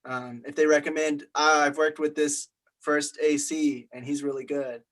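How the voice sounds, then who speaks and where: A man with a voice a little higher than average, chatting in a group discussion. He speaks conversationally and often softens his points as he goes. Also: level tone, moderate pace. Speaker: media studies student.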